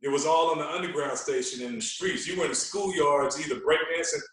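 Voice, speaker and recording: Heard from a little way off in a very echoey room, this is a man with a slightly high voice. He tells stories casually and with animation, leaning on street slang and repeating phrases for emphasis. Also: brisk, fairly bright tone. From Queens, New York. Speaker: podcast guest, radio personality. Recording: very echoey room, distant from mic.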